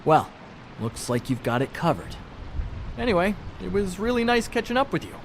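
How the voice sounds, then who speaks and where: Glib, swooping high-voiced small-time crook. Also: male voice, sing-song, normal speed, a little hiss or hum. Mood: sly bargaining. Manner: glib, ingratiating, hedging patter. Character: slippery small-time thief, cornered, wheedling and glib. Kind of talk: video game voice acting.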